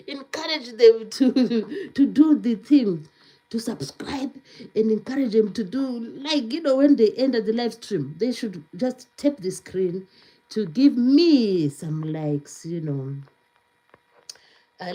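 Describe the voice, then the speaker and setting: A woman's voice: a little high, dark tone, poor audio. A woman hosting a live stream, chatting casually, reading viewer comments aloud, repeating words and laughing often.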